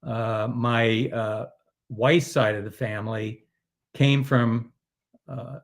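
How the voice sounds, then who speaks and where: An older man answering interview questions as a guest, halting and full of filler sounds. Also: mid-range voice, fairly even intonation, gravelly.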